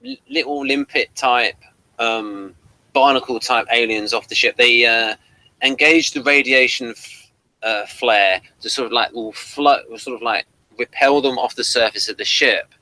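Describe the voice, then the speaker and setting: Bright-voiced British man chatting. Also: medium pitch, unhurried, low-quality recording. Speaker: podcast co-host. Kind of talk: casual review discussion.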